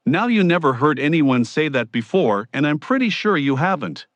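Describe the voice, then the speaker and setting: A man's voice: a little high, big pitch swings, normal speed, fairly bright tone, dry recording. A man giving a scripted motivational monologue as a self-help speaker.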